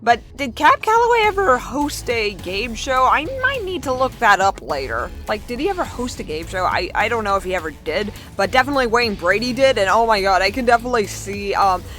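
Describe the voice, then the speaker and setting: A young woman vlogger chatting casually as she reads out and answers viewer comments, in a chatty, excitable manner. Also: on the high side, a little fast, hardly any pauses, faint music behind.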